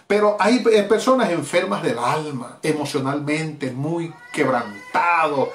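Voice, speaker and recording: A man's voice: fairly high pitch, animated, unhurried, a bit bright, echoey room, slight background noise. A middle-aged Venezuelan man preaching a fervent evangelistic sermon as pastor, with emphatic repetitions. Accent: Venezuelan Spanish. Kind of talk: evangelistic sermon streamed live.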